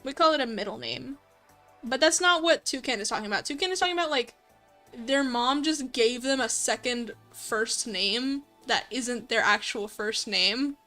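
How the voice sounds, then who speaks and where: A woman's voice: a little high, moderate pace, pushed and bright. A woman livestreamer chatting casually with viewers, giggly and gushing, using lots of internet slang. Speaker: livestreamer, host. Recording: background music behind voice. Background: light background music.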